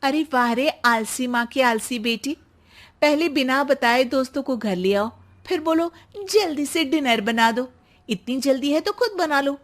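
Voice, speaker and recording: A woman voicing an eager, easily exasperated young daughter-in-law in a Hindi cartoon, with an animated manner. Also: high-pitched, a little slow, pitched above the performer's own voice. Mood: annoyed.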